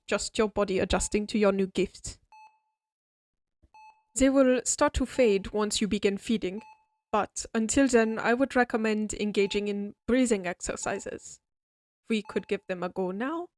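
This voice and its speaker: Woman doing a posh-mannered vampire doctor for roleplay audio, courteous n measured, medium pitch, friendly bedside talk. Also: close to an everyday voice. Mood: calm, soothing. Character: refined, oddly friendly vampire doctor, centuries-old aristocratic healer. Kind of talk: scripted comfort roleplay, spoken directly to listener.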